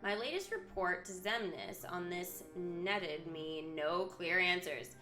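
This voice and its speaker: A woman's voice: lowish voice, expressive, takes it slowly. A woman streaming a video game, switching between live commentary and reading story text aloud. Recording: roomy echo, game music behind. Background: video game music.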